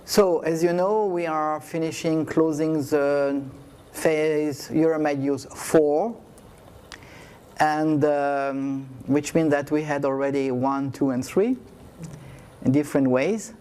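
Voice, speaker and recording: A man speaking slowly and reflectively, with frequent fillers and halting, non-native phrasing. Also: fairly high pitch, fairly even intonation, a little mellow, clean tone. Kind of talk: reflective speech.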